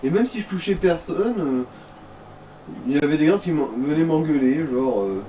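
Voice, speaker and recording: A man's voice: on the high side, lively intonation, normal speed, dark tone. Man advising a gamer, noisy distant recording. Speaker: gaming companion giving advice. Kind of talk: casual gameplay chat. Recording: noisy, distant mic, game ambience.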